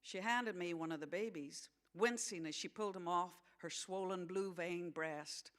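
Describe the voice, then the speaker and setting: A woman playing an earnest sixteen-year-old farm girl who narrates a novel in an attempted American accent, with measured delivery. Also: fairly low pitch, close to an everyday voice. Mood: uneasy, vivid. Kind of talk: dramatised novel reading.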